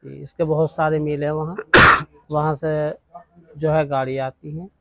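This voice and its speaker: A man who owns a workshop gives short, informal interview answers. His voice sits a little high, and it is recorded with very little echo. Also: little pitch movement, unhurried, bright, forceful tone. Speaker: workshop owner. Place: handloom workshop.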